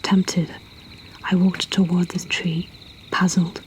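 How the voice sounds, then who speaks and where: A woman softly whispering a poetry reading as an intimate storyteller host, breathy and deliberate with long pauses. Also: on the low side, level tone, slight background noise.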